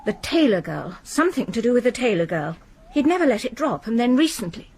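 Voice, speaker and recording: An actress playing a grieving middle-aged widow in a radio drama, quiet and composed. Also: female voice, fairly high pitch, big pitch swings, brisk, slight background noise. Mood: subdued.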